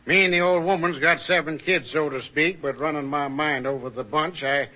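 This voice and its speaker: A man plays a courteous, immovable backwoods father for a radio western. His voice sits fairly high for a man, with a country American drawl. The vintage recording is dull. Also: steady tone, moderate pace, lightly acted. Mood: polite refusal. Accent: rural American drawl. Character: polite but stubborn backwoods father of seven. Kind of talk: old-time radio western acting.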